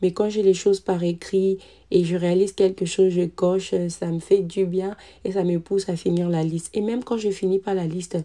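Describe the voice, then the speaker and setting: A woman in her thirties, a mother, narrating a cleaning vlog in a calm, relaxed voice-over with frequent hesitant fillers. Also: lowish voice, moderate pace. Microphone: voice-over recorded separately.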